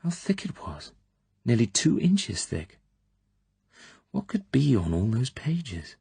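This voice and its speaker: A man's voice: mid-range voice, big pitch swings, slow pace, gravelly, warm tone, breathy edge, no room sound, voice pushed up, exaggerated voice. A man voicing a wary, guileless young woman corporal who speaks in a meek, hesitant way. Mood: wondering.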